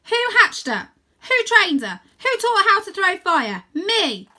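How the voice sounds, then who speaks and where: A woman's voice: very high-pitched, very animated, measured pace, bright, forceful tone, voice pushed up, exaggerated voice. A woman voicing a bold, defiant girl dragon rider in a storybook, speaking in firm, commanding declarations.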